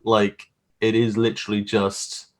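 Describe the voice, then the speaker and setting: A man with a fairly low voice talks as a guest in a casual interview. He is a graphic artist with an informal, self-deprecating manner. His delivery is wry and conversational. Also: monotone, measured pace.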